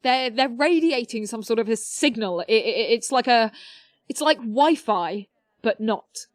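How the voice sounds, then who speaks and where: A woman's voice: a little high, lively intonation, measured pace, dry recording, studio quality. A woman playing a nerdy, awkward teenage girl in an audio drama, eagerly rambling through technical explanations with hesitant stops.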